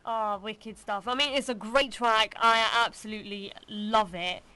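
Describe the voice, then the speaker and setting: A woman's voice: mid-range voice, steady tone, a little slow, bright, forceful tone, no echo. A woman hosting a casual live radio interview in an upbeat, encouraging way. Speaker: radio host, DJ.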